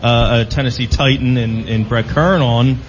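A man of average pitch co-hosts casual sports talk. His voice comes through slightly lo-fi, with clearly audible noise around it. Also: fairly even intonation, moderate pace. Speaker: sports show co-host. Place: live radio broadcast at a casino. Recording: dull live pickup with clear background noise.

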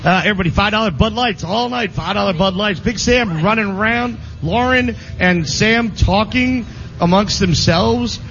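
A man's voice: high voice, normal speed, gravelly, a bit bright, muffled recording, a little hiss or hum. A man hosting live sports radio, hammering his points by repeating words. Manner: emphatic, repeats phrases for stress. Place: live venue broadcast.

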